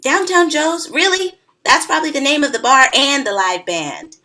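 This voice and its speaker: A woman's voice: high-pitched, lively intonation, normal speed, pushed and bright. A woman playing a teasing, sardonic young woman with dry wit in a screenplay table read. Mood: mock exasperated.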